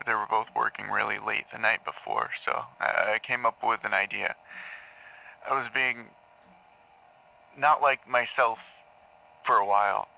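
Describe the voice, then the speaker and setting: A man playing a troubled patient in an audio drama, haltingly confessing dark memories to a therapist, stammering and repeating words. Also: medium pitch, creaky, rough recording. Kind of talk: audio drama acting, intimate confessional monologue.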